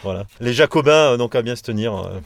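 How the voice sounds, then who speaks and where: A man's voice: medium pitch, lively intonation, quick pace, vocal fry, dry recording. A man from Provence, a band singer, talking casually in an interview with hesitant, digressive phrasing.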